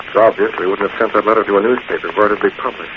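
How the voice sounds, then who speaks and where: An actor playing a calm, dry, resolute American masked vigilante in a 1940s radio drama. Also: male voice, on the low side, very fast, close to an everyday voice. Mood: cool, dry. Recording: muffled, noisy 1940s radio recording.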